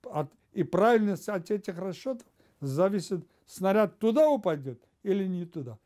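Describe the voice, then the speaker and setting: A man's voice: sits high, very animated, normal speed, dark tone. Older man, emphatic interview answers. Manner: emphatic, gesturing with a pointing finger. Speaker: retired general interviewed. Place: indoor study with bookshelves.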